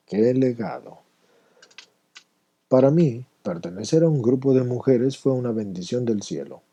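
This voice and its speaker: A man's voice: medium pitch, warm tone, husky. A man reading a written personal recovery story aloud as a narrator. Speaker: reader of a written testimony.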